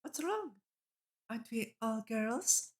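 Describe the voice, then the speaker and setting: A woman's voice: on the high side, animated, takes it slowly. A woman voicing a playful, teasing, big-sisterly woman professor from a visual novel.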